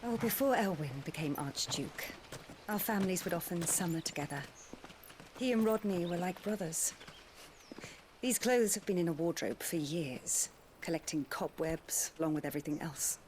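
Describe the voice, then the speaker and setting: An actress playing a kindly, nostalgic, middle-aged noblewoman in a game, gracious and gentle. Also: lowish voice, normal speed, a little hiss or hum, close to an everyday voice. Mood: gracious, wistful. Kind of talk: video game cutscene acting.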